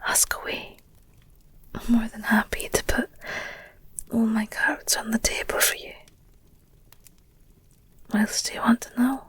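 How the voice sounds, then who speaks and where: A woman playing an affectionate, candid werewolf girlfriend in an intimate ASMR audio roleplay, speaking tenderly and hesitantly in a breathy whisper. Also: fairly high pitch, level tone, a bit bright, exaggerated voice. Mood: tender, open. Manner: slow, tender, hesitant, confiding. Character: affectionate werewolf girlfriend, gentle, candid, wistful about her past.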